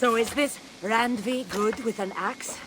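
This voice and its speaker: A woman playing a worldly, confident Greek warrior in a video game cutscene, speaking with a Greek accent in a measured, wry way. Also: fairly high pitch, noisy background, close to an everyday voice. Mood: wry, probing.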